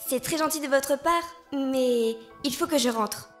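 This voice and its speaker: A woman voicing a bedraggled young girl who speaks in a deadpan, blunt way in a cartoon. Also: high voice, a little slow, soft background music. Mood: weary.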